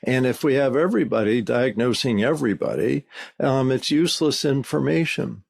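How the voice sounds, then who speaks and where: A man's voice: medium pitch, moderate pace, vocal fry, a little mellow. A man co-hosting a podcast, explaining as a legal expert in an emphatic, plain-spoken, conversational way.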